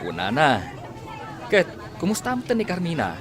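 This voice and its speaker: A man acting a friendly, concerned old friend in a radio drama, speaking in an animated way. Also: a little high, normal speed, crowd noise. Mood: friendly, curious.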